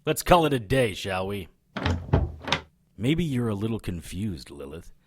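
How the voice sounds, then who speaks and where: A man's voice: on the low side, very animated, measured pace, noisy background. A man playing a world-weary, wisecracking hard-boiled investigator in an audio drama, dry and sarcastic. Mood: dry, impatient.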